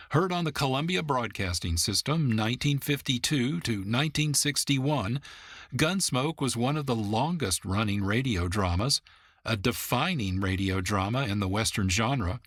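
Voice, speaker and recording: Male host, mid-pitched, scripted radio narration, close and dry sound. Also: a bit bright, dry recording. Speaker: producer and host.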